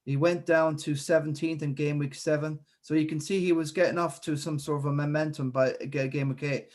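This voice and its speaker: A man's voice: fairly high pitch, steady tone, brisk, a little mellow. A man hosting a casual fantasy football chat, rambling with many fillers and restarts. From Ireland. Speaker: podcast host.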